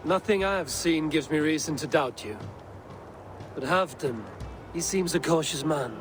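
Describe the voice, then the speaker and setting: An actor playing a stoic, steadfast Viking raider hero in a video game, speaking in a measured, plain, firm way. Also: male voice, on the high side, over music, lightly acted. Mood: steady. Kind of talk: dramatic video game cutscene acting. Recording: game audio with faint background noise.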